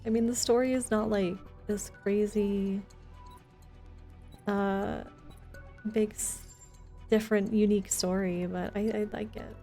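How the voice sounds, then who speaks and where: A woman's voice: mid-range voice, level tone, measured pace, long pauses, dark tone, faint music behind. A woman chatting informally and playfully with viewers while she streams a video game. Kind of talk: casual live gaming chat with viewers.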